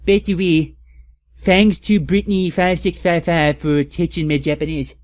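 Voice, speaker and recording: Man as a bratty, belligerent teenage girl author, high-pitched. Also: steady tone, moderate pace, darkish tone, no echo, clean studio sound, lightly acted. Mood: furious. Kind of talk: comedic dramatic reading of author's notes.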